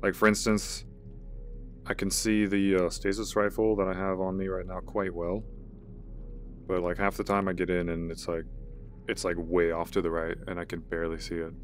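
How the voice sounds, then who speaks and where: A young man with a deep, low voice chats loosely through a VR headset mic while he streams, rambling and stacking up "like" and "uh" as he thinks aloud. Soft game music drifts faintly underneath. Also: monotone, moderate pace. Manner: rambling, fills talk with "like" and "uh". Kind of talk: casual live-stream chat.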